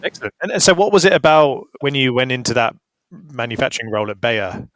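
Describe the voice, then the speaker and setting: A man interviews his guest, asking curious questions and adding brief encouraging sounds as she talks. His voice sits at a middling pitch. The recording is dry, with almost no room sound. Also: expressive, quick pace, a bit bright, no echo. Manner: curious, lots of short backchannel replies. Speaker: podcast host, interviewer.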